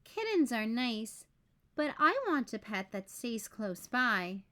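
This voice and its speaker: A woman's voice: on the high side, very animated, slow, a bit bright, exaggerated voice. A woman voicing an eager, polite young boy in a children's picture book, speaking earnestly and simply. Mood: politely particular.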